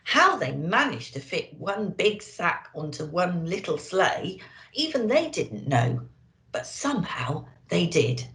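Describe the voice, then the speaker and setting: A woman reads like a children's storyteller, theatrical and sing-song. Her pace is slow and her pitch swoops widely, in an echoey room. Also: very animated, a bit bright. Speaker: children's storyteller presenting as an elf.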